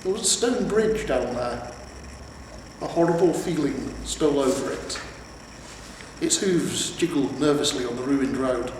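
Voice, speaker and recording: A man's voice: mid-range voice, lively intonation, measured pace, distant mic. A man reading scripted fantasy fiction aloud as a storyteller. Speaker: amateur audiobook narrator. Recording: very echoey reverberant room, faint noise.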